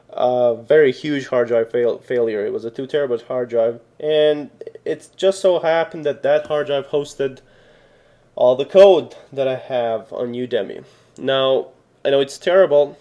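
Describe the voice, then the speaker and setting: A man's voice: medium pitch, mellow. A man from Serbia giving a casual coding tutorial, rambling with many fillers and self-corrections. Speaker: programming tutor.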